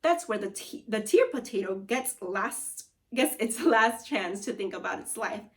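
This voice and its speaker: A woman voicing a friendly young man, a wizard short-order cook with brisk, folksy patter, in a children's storybook. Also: mid-range voice, lively intonation, far from the mic. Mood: brisk, instructing. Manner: showy, folksy, punning patter. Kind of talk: children's storybook read-aloud.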